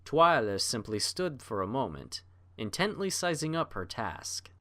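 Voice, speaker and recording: A man reading a scripted story as narrator, in an expressive, literary delivery. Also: mid-range voice, a little slow.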